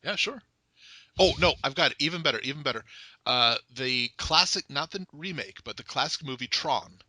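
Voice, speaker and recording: Playful joking man, bright dry voice. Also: mid-range voice, animated, moderate pace, no room sound. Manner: playful, joking, frequent laughs. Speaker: podcast host. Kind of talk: casual chat.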